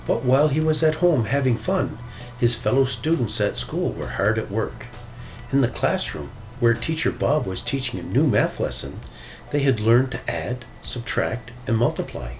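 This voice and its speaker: A man narrating a children's story in an expressive read-aloud style. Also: mid-range voice, rough recording. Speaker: storybook reader.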